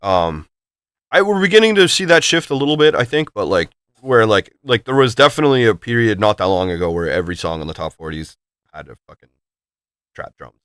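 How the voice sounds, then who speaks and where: A man chatting on a podcast, talking quickly and filling every pause with "like". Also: lowish voice, very animated, a bit bright, smooth, dry recording, clean studio sound. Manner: quick, filler-heavy talk with many "like"s. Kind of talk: casual chat.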